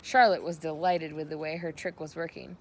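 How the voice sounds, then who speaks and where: A woman's voice: sits low, flat delivery, a little fast, slight background noise. A woman narrating a children's storybook aloud.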